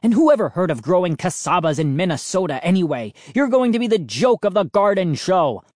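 A narrator voicing a furious middle-aged dad in a storybook, bitter and accusing, with shouted outbursts. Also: mid-range voice, sing-song, normal speed, dry recording, studio quality.

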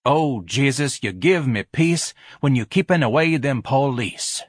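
A man's voice: mid-range voice, lively intonation, unhurried, husky, no room sound, clean studio sound, pitched above the performer's own voice. A man voicing a fervent gospel choir of workers in an audiobook, chanting melodically and lost in it. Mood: fervent, rapt.

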